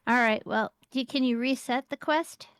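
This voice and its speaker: A woman with a fairly high voice chats while gaming, giving short, relaxed replies and nudging her partner along. The sound is dry and close to the mic. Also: moderate pace, clear, resonant tone, no echo. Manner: short, easygoing replies and prompts. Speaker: co-host playing an online game. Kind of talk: casual gaming chat.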